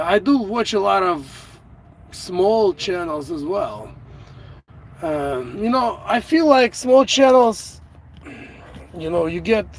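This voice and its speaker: A middle-aged man from Ukraine talks with a Russian accent, his native tongue showing through. He speaks very slowly and haltingly, restarting his sentences, in a somewhat high voice. It sounds like a phone recording from inside a vehicle, with a faint hum behind him. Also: creaky, rough recording. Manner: slow and halting, many fillers and restarts. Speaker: YouTube live streamer. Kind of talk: casual live-stream chat, reading out viewer comments. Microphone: phone without an external microphone.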